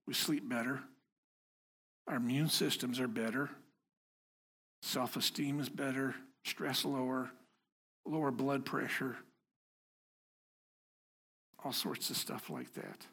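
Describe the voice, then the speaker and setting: A man's voice: mid-range voice, expressive, slow, gravelly, pauses often, fairly bright tone, slightly rough. A man giving an informal sermon to a congregation in a house of worship, talking in a conversational, self-deprecating way and joking with the people in front of him. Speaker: lay preacher.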